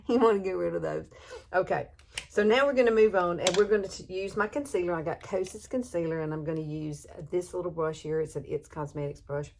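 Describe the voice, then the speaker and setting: A woman's voice: lowish voice, brisk, darkish tone, a little hiss or hum. Chatty southern woman in her sixties. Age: 60s. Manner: chatty, folksy, laughs often. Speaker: beauty vlogger. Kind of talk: makeup tutorial chat. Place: bedroom at home. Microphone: phone camera, no external mic.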